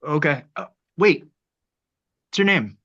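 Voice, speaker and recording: An actor as an earnest young man working as a substitute teacher. His voice is bright and a little tense, with a hesitant, awkward delivery. The recording sounds somewhat dull and lo-fi. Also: on the high side, lively intonation, takes it slowly, heavily acted. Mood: uneasy. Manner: hesitant, flustered. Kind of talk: script table read.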